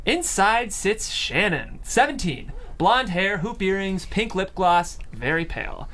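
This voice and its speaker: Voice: mid-range voice, very animated, measured pace, fairly bright tone, a little hiss or hum. A narrator reading out stage directions in a scripted style at a comedy table read.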